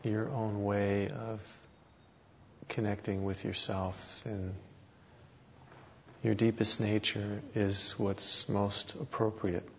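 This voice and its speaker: A man teaching guided meditation, speaking in short phrases with long pauses between them. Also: lowish voice, flat delivery, gravelly. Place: meditation hall talk. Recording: dull recording with background hiss.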